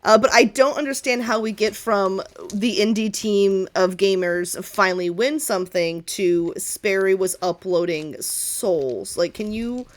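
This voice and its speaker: Lady roleplaying excitable girl bestie on a call, teasing, slangy, rambles dramatically in a tabletop game. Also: medium pitch, measured pace, few pauses, close to an everyday voice. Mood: excited rambling. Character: excitable, teasing young woman friend chatting on the phone.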